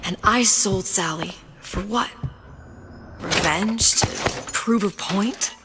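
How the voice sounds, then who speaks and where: A woman's voice: medium pitch, slow, over music, close to an everyday voice. A woman playing a weary, guilt-ridden but determined young woman in a dystopian video game, earnest and introspective. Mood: bitter, reflective.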